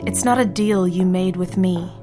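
An actress playing a proud, bitter captive wife in an audio drama, speaking in a deliberate, defiant manner. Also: female voice, lowish voice, over music, close to an everyday voice.

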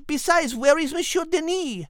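A woman's voice: sits high, moderate pace, no echo, clean studio sound, voice pushed up, lightly acted. Woman doing a salty talking dog. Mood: teasing. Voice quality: folksy slang, calls people lass. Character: scrappy male dog, chatty, old-sailor talk. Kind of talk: playful podcast banter.

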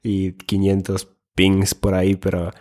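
A man's voice: low-pitched, monotone, unhurried, mellow. A man, co-hosting a casual, conversational podcast. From born in Finland, has lived in Ecuador. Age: 20s. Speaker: podcast co-host.

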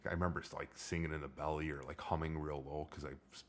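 A middle-aged man with a low voice hosting a casual chat, joking and rambling with many restarts. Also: little pitch movement, quick pace, slight background noise. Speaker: podcast host.

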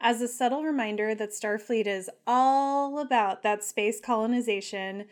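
A woman's voice: a little high, normal speed. A woman co-hosting a podcast, chatting playfully with frequent laughs and recapping an episode in a teasing tone. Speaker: podcast co-host. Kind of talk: casual chat and episode recap.

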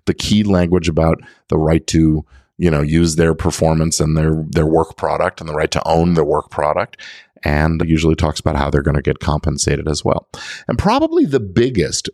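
A man's voice: sits low, darkish tone, dry recording, studio quality. A man hosting a podcast, explaining things in a methodical, conversational monologue. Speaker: podcast host giving legal guidance.

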